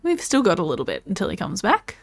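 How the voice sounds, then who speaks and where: A woman's voice: on the low side, wildly swooping pitch, fast talker, vocal fry, no echo, soft background music. An Australian woman chatting casually while streaming gameplay and answering viewers' comments.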